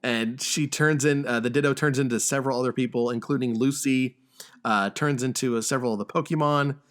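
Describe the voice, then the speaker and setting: A man hosting a podcast, talking casually through a film's plot with a halting, stammering delivery. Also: medium pitch, steady tone, fairly bright tone. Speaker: podcast host. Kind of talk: casual film discussion and plot recap.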